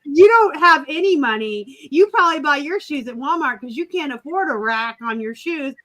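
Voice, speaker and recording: A woman's voice: sits high, animated, normal speed, a bit bright. A woman in her forties co-hosting a casual live chat, blunt and joking as she tells a story. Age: 40s. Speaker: co-host of a reseller live chat.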